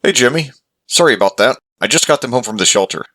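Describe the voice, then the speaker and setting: A man's voice: mid-range voice, animated, fast, bright, forceful tone. A man playing a kind, patient pet owner in a visual novel, speaking in a casual, reassuring manner. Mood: apologetic.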